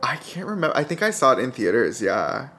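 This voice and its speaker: Podcast guest, male, twenties. Informal pop-culture chat, very animated pitch, emphatic delivery. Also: fairly quick. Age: 20s.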